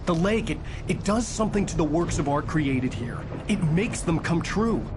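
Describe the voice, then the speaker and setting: An actor playing a driven, haunted writer on the edge in a video game, speaking with intense insistence. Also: male voice, a little high, expressive, normal speed, music in the background, natural voice. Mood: urgent, insistent. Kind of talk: dramatic video game acting and narration.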